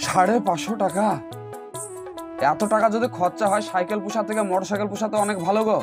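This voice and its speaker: A man's voice: high-pitched, level tone, fast talker, over music, lightly acted. A man playing a hard-pressed, henpecked husband in an amateur family drama, in a plaintive, colloquial manner. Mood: haggling, worried. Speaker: actor.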